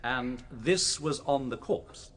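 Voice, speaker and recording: A man acting a forceful, theatrical prosecuting barrister with a formal, probing manner in a courtroom radio drama. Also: medium pitch, takes it slowly. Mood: formal, questioning.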